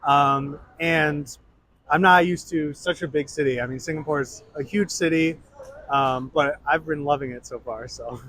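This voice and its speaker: A man's voice: a little high, steady tone, normal speed, a bit bright, a little hiss or hum. A man from New York State, describing his trip eagerly and with many "uh"s and "um"s. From New York State, USA. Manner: enthusiastic, lists things with many fillers. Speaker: tourist interviewee.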